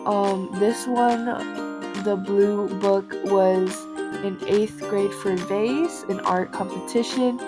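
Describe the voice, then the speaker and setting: A teenage girl narrating her own personal documentary in a halting, phrase-by-phrase way. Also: medium pitch, steady tone. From United States, moved to Houston from Vietnam in early childhood. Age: teens. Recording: music behind the voice.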